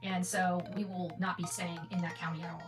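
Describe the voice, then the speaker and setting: A woman's voice: lowish voice, flat delivery, a little fast. An American woman hosting an online channel, talking casually to viewers in a rambling, emphatic way that repeats phrases. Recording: distant mic, music behind.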